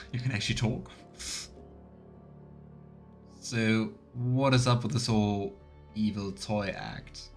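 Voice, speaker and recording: A man's voice: fairly low pitch, big pitch swings, takes it slowly. A man doing casual let's play commentary, musing and thinking aloud, with music behind him. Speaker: video game streamer.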